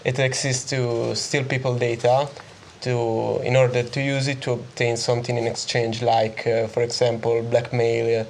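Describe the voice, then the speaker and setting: A man's voice: mid-range voice, flat delivery, normal speed, a little hiss or hum. A young man from Italy, a student guest, explaining hesitantly with many fillers. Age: teens or young adult.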